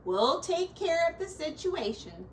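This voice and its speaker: A woman's voice: extremely high pitch, very animated, measured pace, voice pushed up, heavily acted. A woman reading a storybook, voicing a folksy male sheriff who is placating and wryly skeptical. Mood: reassuring. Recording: distant mic with faint background noise.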